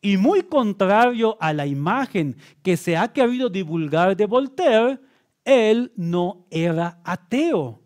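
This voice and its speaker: A man delivers a scripted faith lesson in a high voice. His pitch swings widely for emphasis, and he takes his time, placing deliberate pauses between phrases like a preacher making an argument. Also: darkish tone. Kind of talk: scripted teaching monologue with quotations.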